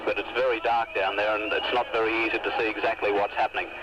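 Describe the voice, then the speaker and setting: A man's voice: lowish voice, flat delivery, quick pace, dull audio. A man with an Australian accent giving live eyewitness commentary as a radio news reporter. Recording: very noisy field radio recording.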